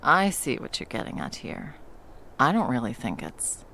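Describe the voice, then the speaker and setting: A woman's voice: extremely low pitch, wildly swooping pitch, normal speed, gravelly, sharp tone, slight background noise, exaggerated voice. A woman voicing a centuries-old female monster hunter in an ASMR roleplay. She talks bluntly and swears a lot, then drops into tender murmurs. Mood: soft, tender.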